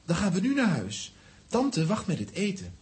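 A woman's voice: fairly low pitch, huge pitch swings, moderate pace, a little mellow, slight background noise. A woman voicing a practical, sceptical young boy in a children's audio drama, with a blunt, matter-of-fact manner. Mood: brisk.

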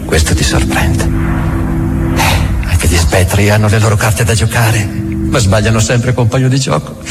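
Un attore che interpreta un diavolo chiacchierone e disincantato, ironico e autoironico, in un monologo teatrale per la radio. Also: male voice, medium pitch. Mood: teasing, sardonic. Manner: ironic, musing, conversational. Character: sardonic, chatty devil, world-weary self-mocking parasite. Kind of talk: dramatic stage monologue for radio. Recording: music running under the voice. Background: music.

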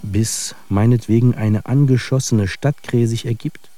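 Man acting a slow, very dark-voiced brooding dreamer. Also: medium pitch, lightly acted. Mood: brooding, wondering. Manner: brooding, deliberate, questioning. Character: brooding wondering male dreamer, doubting his own senses. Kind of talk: poetic radio drama monologue. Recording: faint music under the voice. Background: faint music.

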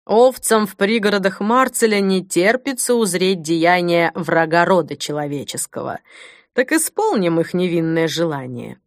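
A woman voicing a haughty, cruel male bishop in an audiobook, with a slow, soft, menacing drawl. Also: on the low side, expressive, no echo, clean studio sound. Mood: sinister relish. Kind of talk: audiobook character acting.

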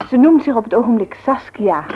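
A woman's voice: fairly high pitch, animated, a little fast, dull audio, a little hiss or hum, lightly acted. An actress playing a sociable, eager young woman who chats with visitors in a Dutch radio play. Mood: chatty, eager. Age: young adult. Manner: chatty, eager, friendly.

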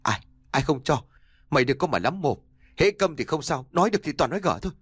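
A man voicing a desperate aging husband who pleads, shouts and sobs over his dying wife. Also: lowish voice, animated, moderate pace, no echo, clean studio sound. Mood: raging. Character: desperate aging husband, grief-stricken and volatile.

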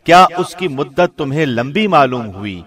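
A man reads a scripture translation in a solemn, measured and formal way, like a professional radio presenter. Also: fairly high pitch, sing-song, a bit bright.

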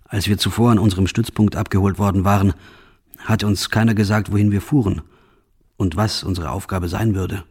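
An actor playing a grim soldier who recounts his war service in a measured, matter-of-fact radio play monologue. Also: fairly low pitch, steady tone, darkish tone, breathy edge. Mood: sober, recounting.

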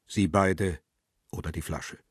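An actor playing a world-weary photographer who narrates his ordeal in a radio crime play, sombre and deliberate. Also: low-pitched, steady tone, breathy edge, no echo, clean studio sound, exaggerated voice. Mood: sombre, intense. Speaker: first-person narrator. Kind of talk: dramatic scripted narration.

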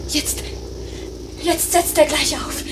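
High girlish young wife, adoring and anxious, soft breathy voice, noisy old radio drama. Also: fairly even intonation, slow pace. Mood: excited, adoring. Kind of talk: 1950s German radio play acting.

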